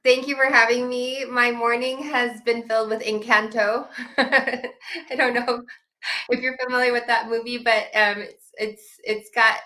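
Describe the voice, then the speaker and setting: Woman in her 30s talking from home, bright crisp voice, chatty, laughs a lot. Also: on the high side, little pitch movement, measured pace. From Washington, US. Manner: chatty, laughs easily, restarts sentences. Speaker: guest. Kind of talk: casual interview talk.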